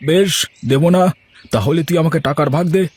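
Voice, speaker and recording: A man's voice: fairly high pitch, moderate pace, a little hiss or hum, close to an everyday voice. A man voicing a brazen, demanding village blackmailer in a cartoon, speaking in a pushy way. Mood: smug, demanding. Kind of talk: cartoon voice acting.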